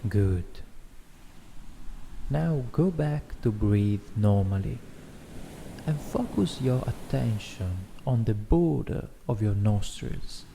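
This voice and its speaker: A man guiding a meditation in a gentle, soothing, instructional way, reading as scripted narration. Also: lowish voice, expressive, takes it slowly, vocal fry. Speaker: meditation guide. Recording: faint background noise, ambient music. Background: soft ambient music.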